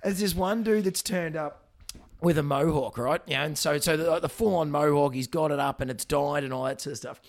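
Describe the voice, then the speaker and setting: An Australian man in his forties, a veterinarian hosting a podcast, hoarse and gravelly after a night of singing, telling rambling stories with lots of fillers and laughs. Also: on the high side, a little fast. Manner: rambling storyteller who laughs often. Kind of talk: casual banter with filler words.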